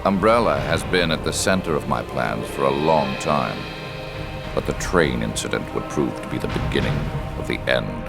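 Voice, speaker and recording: A cold villain who narrates the story slowly and gravely. The voice sits extremely low and has a gravelly edge. He sounds calm and calculating. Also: loud music behind the voice, heavily acted. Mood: scheming. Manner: slow, grave, measured delivery. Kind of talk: game cutscene narration.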